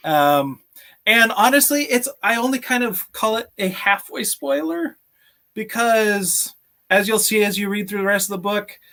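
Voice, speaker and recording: A man in his thirties with a lively, swinging pitch and a slow, halting delivery. He sounds like he is chatting casually on a live stream over a video call. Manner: halting, chuckles often. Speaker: author and game creator co-hosting a live stream. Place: indoor room at home, video call.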